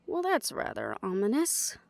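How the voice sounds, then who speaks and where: A woman's voice: medium pitch, wildly swooping pitch, moderate pace, creaky, darkish tone, dry recording. A woman playing a feisty, defiant young woman with a dry manner in an audio drama. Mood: calm, dry.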